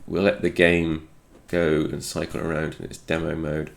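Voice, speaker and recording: Male tech explainer, very creaky low voice, a little stuffed up, talks through his reasoning as he goes. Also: monotone, normal speed, slight background noise. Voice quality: slightly congested. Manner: thinking aloud, musing. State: congested, recovering from a cold. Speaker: host of a retro game programming video. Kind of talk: unscripted technical screen walkthrough.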